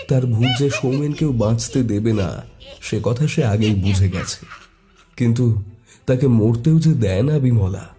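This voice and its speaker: A man narrating a scripted horror story in a suspenseful, deliberate, dramatic way. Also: mid-range voice, mellow.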